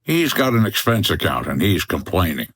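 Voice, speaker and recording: An actor playing a sardonic, unflappable hotel owner in a noir comedy radio drama, delivering lines deadpan. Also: male voice, sits low, moderate pace, husky, dry recording, clean studio sound, heavily acted. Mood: gruff, wry. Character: dry, unflappable American hotel owner, noir tough guy.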